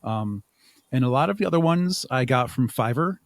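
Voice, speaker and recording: A man's voice: mid-range voice, expressive, normal speed, dry recording. A man speaking casually as a podcast guest, explaining his creative work in a rambling, chuckling way. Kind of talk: casual interview chat.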